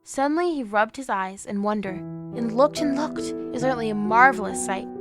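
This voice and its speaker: An actress playing a young woman who reads a fairy tale aloud in a gentle storybook manner. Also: on the high side, lively intonation, brisk, lightly acted. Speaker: storyteller within the drama. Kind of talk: audio drama. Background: music.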